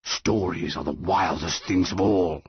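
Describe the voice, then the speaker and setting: A man's voice: low-pitched, vocal fry, slightly rough, muffled recording, heavily acted. A man voicing an ancient roaring tree monster. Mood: stern. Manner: slow, grand, commanding. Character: ancient towering male tree monster, imperious.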